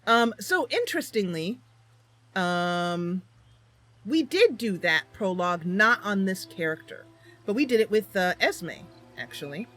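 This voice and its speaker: A woman's voice: medium pitch, expressive, a little slow, a bit bright, soft background music. A woman hosting a gaming channel, casually commentating on her roleplay, thinking aloud and explaining as she goes.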